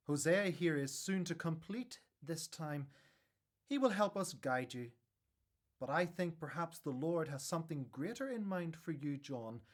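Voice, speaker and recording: A man playing a wise, welcoming religious elder in a radio drama, measured and gently authoritative. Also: fairly high pitch.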